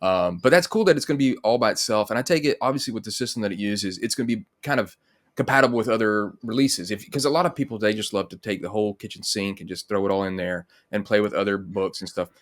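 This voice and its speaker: A man's voice: on the low side, fast talker. A man hosting a casual interview over a video call, talking in an agreeable, affirming way. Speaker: interviewer, host. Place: indoor room, video call.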